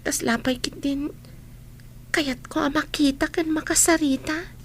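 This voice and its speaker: A woman's voice: high voice, steady tone, a little slow, a little hiss or hum. A woman playing a naive, self-doubting schoolgirl who gets teased, in radio drama style. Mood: wistful, puzzled. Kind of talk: Ilocano radio drama acting.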